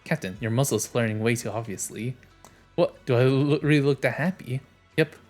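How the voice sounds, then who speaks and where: Guy streaming a story game, reads lines out n chats, medium voice, soft music behind. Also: medium pitch, moderate pace. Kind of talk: reading game dialogue aloud with casual commentary.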